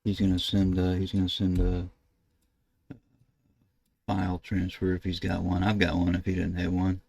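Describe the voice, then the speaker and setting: An older American man with a low, creaky voice talks through a computer demonstration. He speaks casually and haltingly, thinking aloud as he goes. His delivery is flat, with little rise or fall. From United States. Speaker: ham radio video host. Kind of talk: casual screen-share tutorial narration. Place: indoors at a computer desk.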